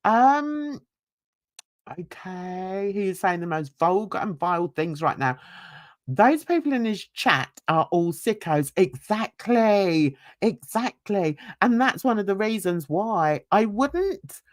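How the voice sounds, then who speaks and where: A woman's voice: medium pitch, big pitch swings, slow, no echo, studio quality. An older woman, ranting bluntly and indignantly while reading a livestream chat and talking to viewers. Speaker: YouTube commentator.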